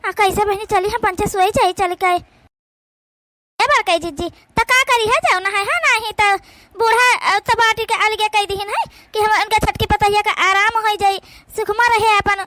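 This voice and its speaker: A woman's voice: very high-pitched, normal speed, bright, forceful tone. A woman with an Awadhi accent voicing a fretting, tender-hearted village daughter-in-law in a cartoon. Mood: worried, gossiping. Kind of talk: amateur cartoon voice acting.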